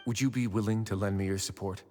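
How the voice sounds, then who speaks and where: An actor playing a composed, shrewd duke in a video game, speaking in a measured, formal and polite way. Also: male voice, lowish voice, fairly even intonation, warm tone, big-room sound, faint music behind, natural voice. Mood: calm, measured. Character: composed, courteous duke and shrewd investigator, adult man. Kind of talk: scripted video game voice acting.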